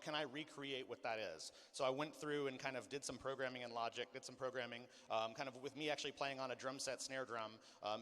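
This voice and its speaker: A man's voice: mid-range voice, pushed and bright. A man giving an informal clinic presentation as a sound designer, explaining and demonstrating to listeners. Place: large hall, live clinic performance. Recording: long hall reverb.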